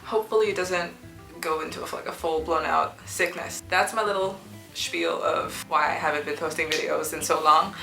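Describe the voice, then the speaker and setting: A woman's voice: deep voice, steady tone, normal speed, vocal fry, pushed and bright, roomy sound, soft background music, rough recording. Chatty young woman with a sore throat. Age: young adult. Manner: chatty, rambling with many fillers and restarts. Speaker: book reviewer vlogger. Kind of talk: casual chat. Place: small student apartment room.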